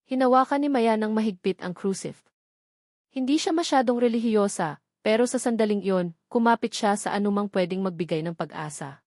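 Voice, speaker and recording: A woman reads a romance audiobook in a dramatic storytelling style. Her voice sits at a medium pitch. The sound is close and dry, with no room around it. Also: a little fast, a little mellow, no room sound. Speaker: audiobook narrator.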